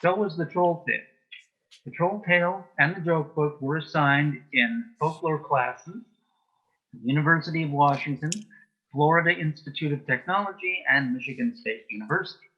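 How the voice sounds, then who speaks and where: Husky guy being interviewed, bone-dry deadpan jokes and stories, kinda dull lo-fi sound. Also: fairly high pitch, normal speed. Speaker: interview guest, author and former folklorist. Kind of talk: conversational interview, telling anecdotes and jokes.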